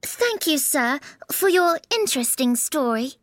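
A man voicing a polite, curious young girl in a very high falsetto. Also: very animated, unhurried, fairly bright tone, dry recording, clean studio sound, full character voice. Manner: prim, earnest questioning.

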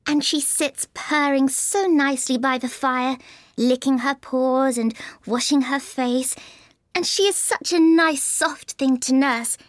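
A man's voice: very high-pitched, fairly even intonation, a little slow, no room sound, clean studio sound, voice pushed up. A man voicing a polite, eager young storybook girl who chatters in a gushing, apologetic way. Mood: fond, dreamy. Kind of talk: storybook character reading.

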